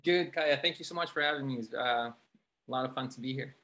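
A man's voice: medium pitch, a little fast, bright, forceful tone. A man from Colombia, a Spanish speaker, telling his story casually as an interview guest. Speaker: interview guest, film composer.

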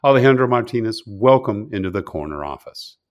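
A man hosting a business podcast, reading a deliberate intro and then chatting casually with fillers. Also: on the low side, lively intonation. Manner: slow and deliberate in the intro, chatty with "you know" and "uh" in the interview. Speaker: podcast host.

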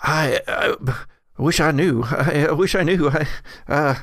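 A man plays a frightened young man in a horror roleplaying game, his voice pushed higher and tense. He stammers and repeats himself in jittery slang, sounding thoroughly rattled. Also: a little slow, fairly bright tone, no room sound, studio quality.

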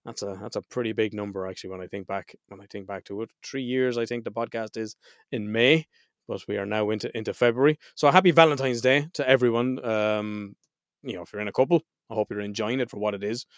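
A man's voice: on the low side, fast, dry recording, studio quality. A man hosting a mental health podcast, speaking frankly and swearing freely, a little nasal and stuffed up from a head cold. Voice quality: slightly nasal. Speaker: podcast host. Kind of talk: casual solo podcast intro.

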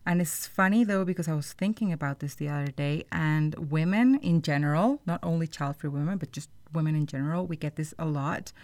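A woman's voice: sits low, fairly quick, few pauses, a little mellow, no echo. A woman hosting a podcast, explaining things to listeners in an informal, conversational way. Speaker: podcast host.

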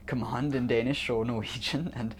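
A man's voice: medium pitch, steady tone, normal speed. A young man speaking casually as an interview guest, often chuckling as he talks. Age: young adult. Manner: chuckles often while talking. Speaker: interview guest, international student on a sailing ship. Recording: faint background noise and ambience.

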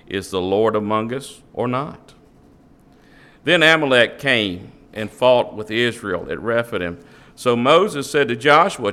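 A man reading a Bible passage aloud to a church congregation, steady and measured. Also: medium pitch. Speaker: scripture reader.

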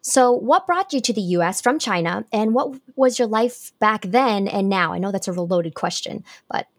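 A woman's voice: medium pitch, animated, normal speed, dry recording, clean studio sound. A woman hosting an interview, upbeat and encouraging as she asks her questions. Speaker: interview host.

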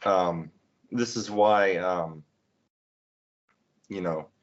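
Twenty-something male podcast host, low voice, loose rambling delivery full of "um"s, dull lo-fi recording. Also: very slow. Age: 20s. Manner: rambling, many fillers and restarts. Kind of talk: casual chat. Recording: dull lo-fi sound.

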